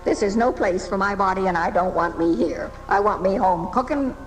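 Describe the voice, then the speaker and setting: A woman's voice: medium pitch, moderate pace, lightly acted, rough recording. An actress playing an indignant old maiden lady in a live radio drama, haughty, scolding and theatrical. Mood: prim, stubborn. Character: indignant, feisty old maiden lady reclaiming her own body. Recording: home-taped 1980s radio broadcast, music behind.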